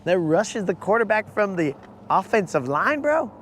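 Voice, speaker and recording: A man hosting a live fishing stream from a boat, talking casually with viewers in a hoarse voice. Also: high-pitched, sing-song, moderate pace, a bit bright, a little hiss or hum. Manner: casual, slangy, calls viewers bro. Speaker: fishing channel host. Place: outdoors on a boat on a lake.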